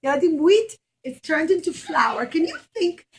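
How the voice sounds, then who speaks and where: A woman's voice: very high voice, animated, normal speed, slight background noise. A woman storytelling to nursery children as a puppeteer and teacher, asking questions and stretching out key words. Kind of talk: animated storytelling to young children.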